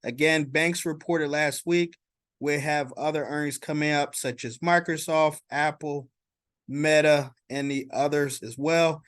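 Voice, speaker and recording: A man giving informal market commentary as a stock vlogger, explaining in a halting way and checking in as he goes. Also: on the high side, fairly even intonation, fairly bright tone, no echo.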